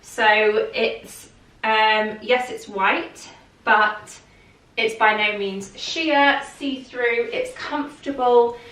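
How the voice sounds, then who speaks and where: A woman's voice: a little high, steady tone, extremely slow, a bit bright. A woman vlogging a casual, enthusiastic try-on chat with fillers, heard from a distance. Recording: echoey room from a distance.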